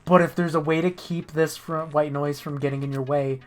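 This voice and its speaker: A woman with a low voice streaming a game. She is casual, jokey and sweary, stumbling through text she reads out, with faint music behind. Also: steady tone, brisk. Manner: joking, sweary, stumbles while reading.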